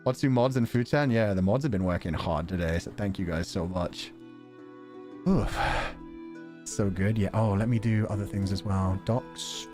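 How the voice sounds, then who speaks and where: A man's voice: lowish voice, normal speed, music in the background. A man livestreaming and chatting casually with viewers in a wry, sarcastic way, swearing now and then.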